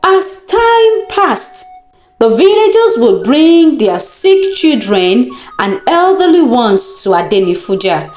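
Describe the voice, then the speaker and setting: A woman with a Nigerian accent narrates a folktale as a storyteller, in a deliberate, dramatic and expressive manner. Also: sits high. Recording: roomy echo with music underneath. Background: music.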